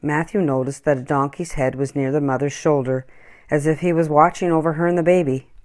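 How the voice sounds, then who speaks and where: A woman reading a children's story aloud in an expressive, acted-out storytelling style. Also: low-pitched, brisk. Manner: animated, acts out dialogue and animal sounds. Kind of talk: expressive scripted storybook narration.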